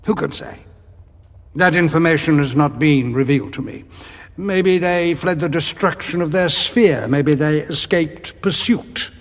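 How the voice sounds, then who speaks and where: An actor playing a haughty alien zealot who serves ancient dark powers, speaking formally and solemnly in an audio drama. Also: male voice, on the high side, a little slow, big-room sound. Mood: solemn, portentous.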